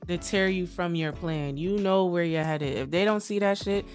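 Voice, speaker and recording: A woman's voice: on the low side, normal speed, a little mellow, soft background music. A young woman guesting on a podcast, speaking bluntly and candidly in casual chat, cursing freely.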